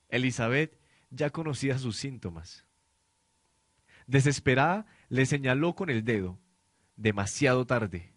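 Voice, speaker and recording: A man's voice: mid-range voice, dry recording. A man reading dramatic scripted narration for a radio novel. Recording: clear background noise, amateur student production.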